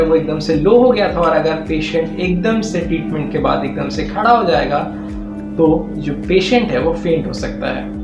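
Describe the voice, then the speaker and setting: A man with an Indian accent gives an informal, step-by-step explanatory lecture, mixing English technical terms into his speech. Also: a little high. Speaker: physiotherapy teacher and channel host. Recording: echoey room sound. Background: clear background music.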